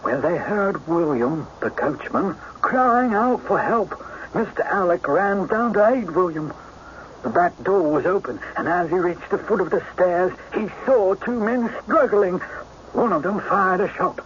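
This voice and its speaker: Earnest inspector, high-pitched. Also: male voice, lively intonation, measured pace. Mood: reporting. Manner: eager, factual, deferential. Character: earnest, respectful English police inspector. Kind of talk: radio mystery drama acting. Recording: muffled, hissy old radio recording.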